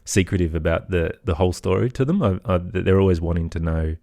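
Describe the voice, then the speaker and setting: A man's voice: sits low, a little fast, vocal fry, dark tone, no room sound. A man with an Australian accent, chatting casually as an interview guest about his work. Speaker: interview guest, children's podcast creator.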